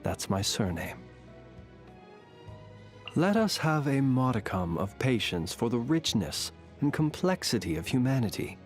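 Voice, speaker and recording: A man voicing a courteous, centuries-old fae night watchman in a video game, speaking formally and eloquently in a measured, quietly teasing way. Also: mid-range voice, big pitch swings. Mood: philosophical, eerie. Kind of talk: scripted video game character voice lines. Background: background music.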